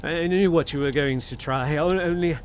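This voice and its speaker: An actor playing a desperate young lord in a radio suspense drama, drugged, breathless and stammering. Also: male voice, on the high side, fairly quick, slight background noise, lightly acted. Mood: accusing.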